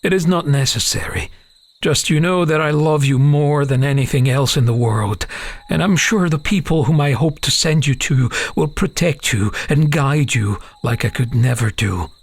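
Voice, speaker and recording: Man playing a tender, grave father, creaky voice. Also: on the high side, normal speed. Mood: tender, protective. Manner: gentle, grave, protective. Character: tender, sorrowful father speaking to his only daughter. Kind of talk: fantasy audiobook acting.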